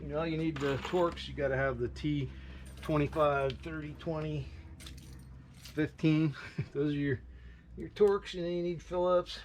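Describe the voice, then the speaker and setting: A man's voice: a little high, steady tone, measured pace, pauses often. Folksy tradesman with heavy background noise. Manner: plain-spoken, folksy, easygoing. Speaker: tradesman, carpenter on a repair job. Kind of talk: casual hands-on explaining while working. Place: job site in an old building. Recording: clattery, noisy job-site camcorder audio.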